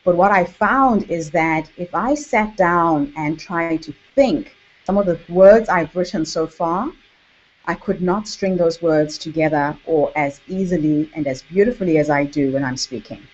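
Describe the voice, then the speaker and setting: A woman with a Zimbabwean accent hosts an online workshop, speaking in a measured, deliberate way with emphatic repetition. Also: lowish voice, a little mellow. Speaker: workshop host and author coach. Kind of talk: online workshop presentation to camera. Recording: dull, lo-fi webinar audio.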